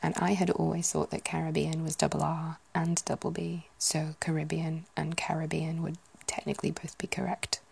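A British woman in her thirties whispers softly, musing and correcting herself as she goes. Her voice is gravelly with fry and husky, breathy texture. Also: sits low, level tone, normal speed, hardly any pauses. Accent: British English. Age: 30s. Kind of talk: whispered ASMR chat.

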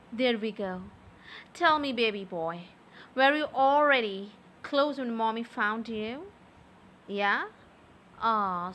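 A young adult woman speaking softly and close to the mic in an intimate audio roleplay, with a teasing, coaxing manner. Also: on the high side, slight background noise. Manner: soft, slow, teasing and coaxing.

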